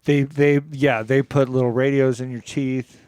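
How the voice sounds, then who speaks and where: A man co-hosting a comedy podcast, musing in a halting, mock-earnest way about absurd ideas in casual chat. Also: mid-range voice, flat delivery, a little mellow, smooth, no echo.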